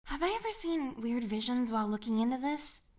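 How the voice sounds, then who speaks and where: A woman's voice: fairly high pitch, sing-song, a little fast. A young woman voicing a shy, awkward time traveler in a self-conscious, wry inner monologue. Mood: flustered wry. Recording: loud music underneath.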